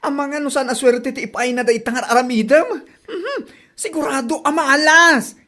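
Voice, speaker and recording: A woman playing an excitable, easily scandalised neighbour friend in an Ilocano comedy drama, with an Ilocano accent and dramatic exclamations. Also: sits high, moderate pace. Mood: scandalised, teasing.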